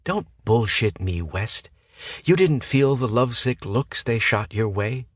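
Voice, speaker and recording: A man voicing a sharp-tongued, sarcastic young woman with a mocking way of talking. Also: mid-range voice, expressive, a little slow, dry recording, voice pushed up. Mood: sassy. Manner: sassy, mocking.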